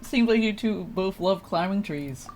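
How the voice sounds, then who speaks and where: A woman's voice: mid-range voice, a little slow, darkish tone, soft background music, rough recording. A woman game streamer chatting casually, playful and teasing as she reacts to the game.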